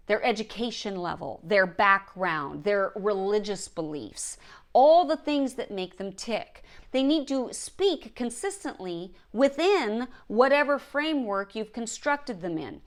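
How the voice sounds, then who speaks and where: A woman giving an instructional talk as a writing coach, stressing her points deliberately as she lists them. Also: mid-range voice. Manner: emphatic, deliberate listing of points. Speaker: writing coach and show host.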